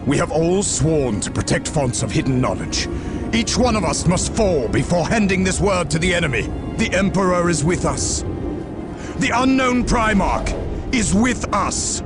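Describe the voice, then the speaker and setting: An actor playing a stern, zealous space marine captain in a video game, speaking in grand, commanding oratory. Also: male voice, high-pitched, sing-song, measured pace, over loud music. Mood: rousing, defiant. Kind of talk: video game cutscene battle speech.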